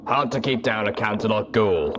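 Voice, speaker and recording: Gruff military ghoul stallion played by a male reader, low, terse, commanding, storybook; noisy home recording. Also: normal speed, natural voice. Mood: wry.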